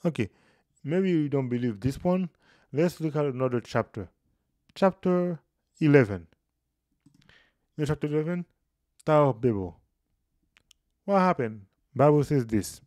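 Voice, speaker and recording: A man's voice: on the high side, a little slow, pauses often, no room sound, clean studio sound. A man in his thirties explaining scripture casually in a video, gesturing as he talks. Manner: explanatory, animated hand gestures. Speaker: Bible teacher. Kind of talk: casual Bible study video. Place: small room indoors. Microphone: studio mic on boom, headphones.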